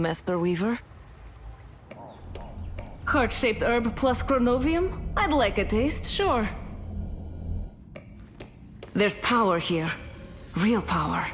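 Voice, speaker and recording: An actress playing a cool, self-assured young sorceress heroine in a video game. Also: female voice, on the high side, a little hiss or hum. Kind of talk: game voice acting.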